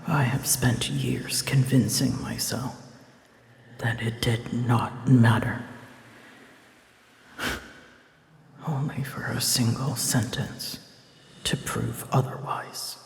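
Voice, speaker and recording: A woman voicing a prickly, wounded female dragon in a whispered ASMR roleplay, speaking breathily in halting, solemn, formal phrases. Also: rumbling low, steady tone, creaky, darkish tone, heavily acted. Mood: haunted, bitter. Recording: echoey, long reverb tail.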